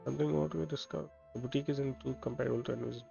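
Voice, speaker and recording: Young guy streamer, gravelly mumbly laid-back commentary, dull mic, game music behind. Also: medium pitch, fairly even intonation, very fast, warm tone.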